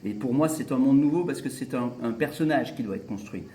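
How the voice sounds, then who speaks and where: A man's voice: mid-range voice, dark tone. Opera singer guy chatting about his role, repeats words, roomy sound. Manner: halting, repeats words, good-humored. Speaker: opera singer and actor interviewed. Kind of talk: festival interview, casual chat.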